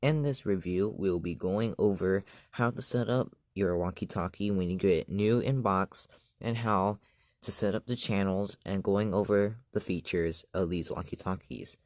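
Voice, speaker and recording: A man's voice: low-pitched, normal speed, darkish tone, no room sound, studio quality. A man hosting his own channel, walking through a gadget setup step by step in a loose, chatty way and often trailing off with "so, yeah.". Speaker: channel host reviewing a gadget. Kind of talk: casual step-by-step product demo.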